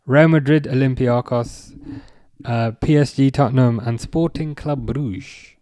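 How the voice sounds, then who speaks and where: A man hosting a live watch-along stream, chatting casually with viewers in a relaxed, hesitant way with plenty of fillers. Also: mid-range voice, a little slow, no echo, clean studio sound. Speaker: livestream host reading chat.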